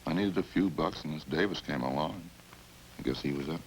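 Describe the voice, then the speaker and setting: An actor in a 1950s radio crime drama playing a chatty killer who confesses in a casual, folksy, matter-of-fact way. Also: male voice, extremely low pitch, fairly quick, low-quality recording. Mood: casual, rambling. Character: chatty, hungry male killer calmly confessing over supper. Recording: hissy old-time radio recording.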